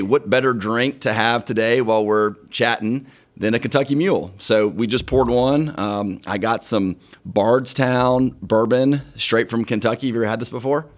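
A man's voice: mid-range voice, normal speed. A middle-aged man hosting a podcast in a law firm conference room, upbeat, friendly and sincere. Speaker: podcast host and lawyer. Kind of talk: casual podcast chat.

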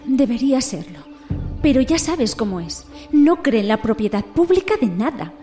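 A woman's voice: high voice, very animated, normal speed, warm tone, soft background music. A woman playing a worried, self-deprecating botanist confiding in a colleague in an audio drama. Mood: concerned, confiding.

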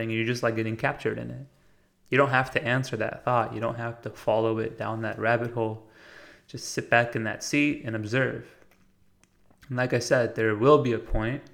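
An American man speaks in a slightly low voice with plenty of vocal fry. His melody stays narrow and even. He reflects out loud in an earnest, casual monologue, halting now and then to find his words. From United States. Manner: informal, halting, searching for words. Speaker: podcast host.

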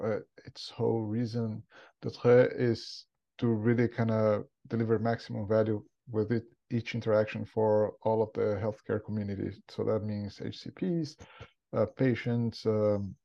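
A Brazilian man in his forties speaks with a Brazilian Portuguese accent and a gravelly, mellow voice. He tells his story in a relaxed, thoughtful way, leaning on "kinda" and restarting his sentences. The audio is dull and lo-fi. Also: fairly low pitch, moderate pace. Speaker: podcast guest. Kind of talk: casual interview, career story.

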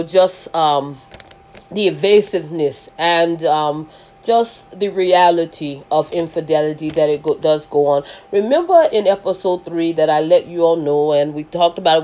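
Woman host with a loud, lower voice, rambling sermon-style podcast, dull lo-fi mic. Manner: rambling with many restarts and fillers. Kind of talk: faith-based podcast talk.

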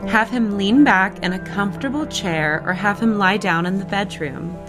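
A woman voicing a prim, submissive 1950s housewife reciting magazine advice in a measured, instructive manner for an audio drama. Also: mid-range voice, natural voice. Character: prim 1950s good-wife guide reciter, submissive cheer. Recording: loud music over the voice. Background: loud music.

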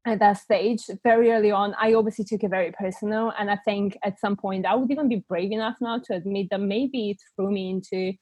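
A woman's voice: medium pitch, fairly even intonation, a little fast, hardly any pauses, a little mellow, breathy edge, no echo. A young woman with a Bulgarian accent, speaking as a podcast guest and reflecting candidly in a conversational interview. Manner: reflective, thinks aloud with frequent restarts.

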